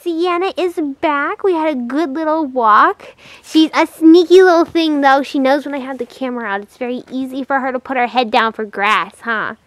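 A woman's voice: sits high, normal speed, hardly any pauses, no echo. A woman narrating a casual horse vlog in a chatty way, speaking fondly of her animals. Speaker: horse vlogger.

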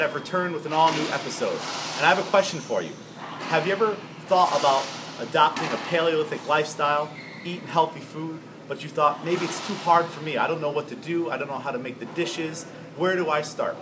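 A man's voice: a little high, fairly quick. A man in his thirties hosting a casual, upbeat interview, filmed on a camera from across an indoor room. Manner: upbeat, chatty, gestures while talking. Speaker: host. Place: bright indoor room with glass wall. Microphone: far camera. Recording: camera audio with loud background noise.

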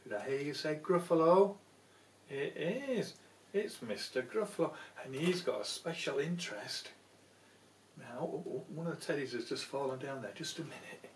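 A man's voice: on the high side, lively intonation, normal speed, a little hiss or hum. A man in his sixties, a grandfather playfully coaxing small children along as he tells them a story. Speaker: grandfather reading a picture book to grandchildren. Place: small indoor room at home. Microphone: camera a few feet away. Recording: roomy echo, a little far from camera.

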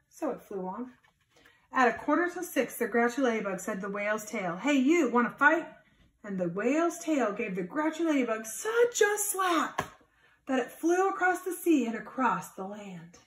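A woman in her thirties reading a storybook expressively to children, adding simple explanations. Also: a little high. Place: small plain indoor room. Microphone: phone or camera a few feet away. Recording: echoey room, distant phone mic.

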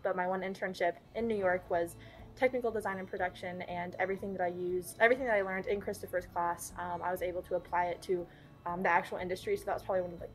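A young woman from Indiana, a design student, answering interview questions casually with many fillers. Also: lowish voice. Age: young adult. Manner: quick, lots of fillers.

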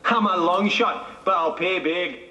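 An actor playing a scrappy young gambler boxer who tosses out brash, boastful one-liners in a video game. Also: male voice, high voice, measured pace, bright, forceful tone, reverberant, dull audio. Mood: cocky. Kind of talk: video game character taunts.

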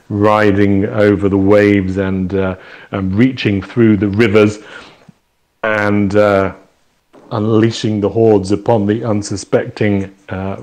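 A man from England with an English accent, an author answering interview questions in a reflective, halting storytelling way. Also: fairly low pitch, a little mellow. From East Anglia, England; lives in Canada. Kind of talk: conversational interview, storytelling explanation.

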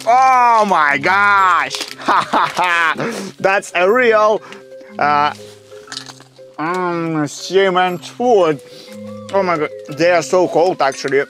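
Excitable young man with a Slavic accent. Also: high-pitched, drawn-out words, soft background music. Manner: excitable, narrates each find out loud, non-native grammar. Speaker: adventure vlogger. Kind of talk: exploring vlog narration. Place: inside a snow-filled boat cabin, outdoors in winter.

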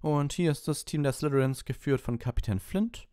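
A man voicing an excitable, partisan schoolboy sports commentator in a storybook, with breathless, exclamatory play-by-play. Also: on the high side, moderate pace, no echo, clean studio sound, pitched above the performer's own voice, natural voice. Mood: rousing announcing.

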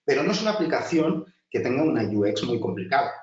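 Chatty instructor, distant roomy audio. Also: male voice, medium pitch, big pitch swings, quick pace, a little mellow, lo-fi sound. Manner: chatty, many restarts and filler, addresses class directly. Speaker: design instructor. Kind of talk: webinar lecture over slides.

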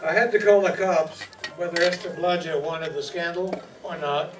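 A man's voice: on the high side, fairly even intonation, normal speed, over music, lightly acted. An older man playing a wisecracking, breezy, tough private detective in old-time radio style. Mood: brisk, businesslike. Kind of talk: 1940s radio acting, with narration. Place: meeting room stage before an audience. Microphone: stand microphone, filmed from far back. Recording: distant room mic, echoey, noisy.

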